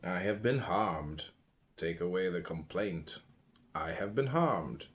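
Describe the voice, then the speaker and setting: A man from the United States reading a philosophical text aloud in a measured, deliberate way, phrase by phrase with pauses. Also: sits low, animated. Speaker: audiobook reader.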